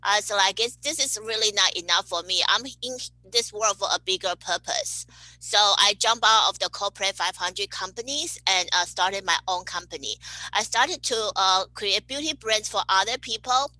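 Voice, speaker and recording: Woman, cheerful interview guest, bright sharp tone, dry recording. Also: mid-range voice, moderate pace, no room sound, poor audio. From Taiwan. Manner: cheerful, laughs between answers. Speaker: guest, cosmetic chemist. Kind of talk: podcast interview answers.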